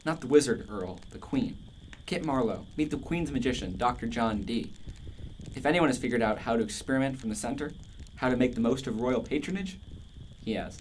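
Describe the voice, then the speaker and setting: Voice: mid-range voice, animated, fairly quick, darkish tone, a little hiss or hum, lightly acted, poor audio. An actor playing a passionate, contrarian older philosopher in a radio play, declamatory and emphatic. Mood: knowing.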